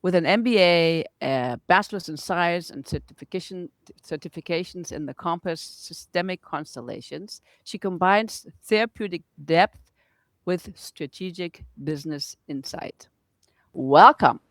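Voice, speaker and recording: A woman's voice: fairly low pitch, unhurried, dry recording. A woman hosting an interview podcast, English not her first language, chatting in an encouraging way. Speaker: podcast host. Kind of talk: relaxed interview chat.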